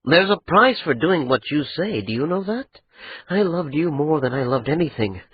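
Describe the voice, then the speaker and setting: A man voicing Adra, a proud, resentful young nobleman who speaks in a slow, bitter drawl. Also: fairly high pitch, lively intonation, slightly rough, dry recording, pitched above the performer's own voice. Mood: pleading. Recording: dull lo-fi recording.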